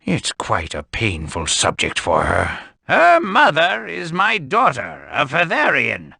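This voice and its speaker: Gravelly, solemn old grandfather. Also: on the high side, huge pitch swings, slow pace, bright, forceful tone, dry recording, clean studio sound, full character voice. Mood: grave, protective. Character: grave old winged grandfather of a scientific race. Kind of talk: English game dub voice acting.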